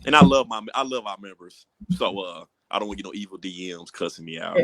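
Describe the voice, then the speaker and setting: A man's voice: low-pitched, fast, fairly bright tone, no room sound, low-quality recording. A man co-hosting a podcast with casual joking banter, emphatic and repeating himself for effect. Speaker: podcast co-host.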